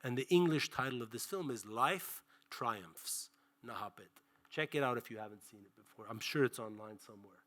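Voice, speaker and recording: Forceful, bright-voiced man lecturing with passion. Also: medium pitch, moderate pace. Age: 40s. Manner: emphatic, rhetorical, stressing key words in repeated phrases and lists. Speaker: filmmaker giving a film history lecture. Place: library auditorium, speaking to an audience.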